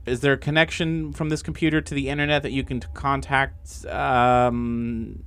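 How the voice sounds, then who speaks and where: A man's voice: mid-range voice, level tone, moderate pace, a bit bright, clean tone, close to an everyday voice. A man playing an agitated, sarcastic man trapped inside a computer, flustered and stammering in improvised tabletop roleplay. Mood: anxious. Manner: flustered, stammering, repeats words, exclaims.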